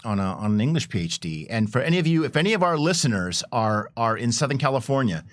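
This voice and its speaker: A middle-aged man reminiscing casually as a panel guest, enthusiastic and colloquial, in halting phrases. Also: medium pitch, sing-song, no room sound, clean studio sound.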